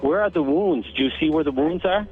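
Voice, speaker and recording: Male 911-style dispatcher, higher-pitched, brisk, tinny archived call audio with faint music beneath. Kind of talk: emergency phone call questioning. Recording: muffled lo-fi emergency phone line.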